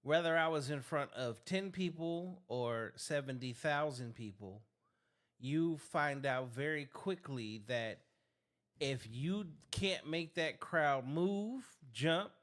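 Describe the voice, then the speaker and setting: A man hosting a podcast interview who asks measured questions and compares things to his own time performing music. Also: a little high, expressive, fairly bright tone. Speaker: podcast host and reactor. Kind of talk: interview host.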